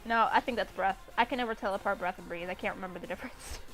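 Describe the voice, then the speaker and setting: A woman's voice: mid-range voice, racing, fairly bright tone, rough recording. A young woman casually reading and mocking fan fiction, with an irreverent, sweary, giggly manner. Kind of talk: casual commentary on a read-aloud story. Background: background music.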